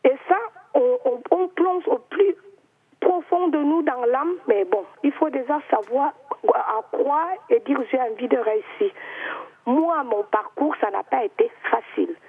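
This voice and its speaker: A woman with an Equatorial Guinean accent calls in to a talk show over a tinny phone line. Her voice sits high and comes across husky, and her cold and sore throat are audible. She speaks with emphatic, emotional conviction. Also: measured pace. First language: Spanish. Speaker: caller. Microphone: telephone.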